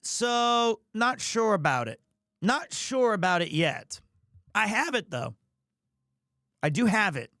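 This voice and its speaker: A man's voice: high-pitched, sing-song, takes it slowly, long pauses, pushed and bright, no echo, studio quality. A man hosting a livestream, chatting casually in a rambling, opinionated and playfully defensive way. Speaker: live streamer, host of the show. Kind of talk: casual livestream chat with viewers.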